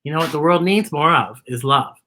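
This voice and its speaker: A woman's voice: sits low, lively intonation, a little fast, bright, forceful tone, slight background noise. A woman hosting a story time for young children, talking expressively and putting questions to her listeners. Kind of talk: animated picture-book storytelling for young children.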